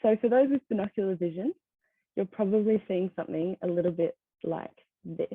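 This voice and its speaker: A seventeen-year-old woman giving a conference talk over a video call, soft-spoken with many ums and light laughs. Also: medium pitch, fairly quick, dry recording. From Australia. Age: teens. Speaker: conference speaker. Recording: tinny phone-like line, dry.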